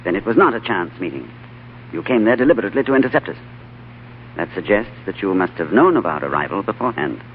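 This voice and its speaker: An actor playing a composed, shrewd gentleman in an old radio drama, urbane, courteous and polished in speech and narration. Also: lowish voice, sing-song, quick pace, a little hiss or hum. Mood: probing, wry. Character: cultured, composed, shrewd British diplomat narrator. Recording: muffled vintage radio broadcast with music.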